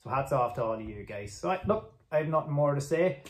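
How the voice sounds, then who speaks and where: A man's voice: mid-range voice, lively intonation, fast talker. An Irish man in his forties rambling casually and appreciatively to camera as a hobbyist host. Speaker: video host, amateur radio hobbyist. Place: small indoor home radio room. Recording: roomy home-office sound.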